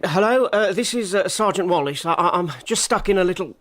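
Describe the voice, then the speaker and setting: High-voiced flustered police sergeant. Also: male voice, animated, fairly quick, a bit bright, a little hiss or hum. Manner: hesitant, bumbling, apologetic. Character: flustered, deferential police sergeant moonlighting as assistant. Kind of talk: British radio comedy drama acting.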